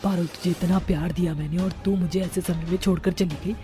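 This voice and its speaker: A woman's voice: on the low side, steady tone, brisk, mellow, natural voice. A woman voicing a scolding middle-aged witch mother in a cartoon story, with theatrical delivery. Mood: remorseful. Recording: music behind the voice.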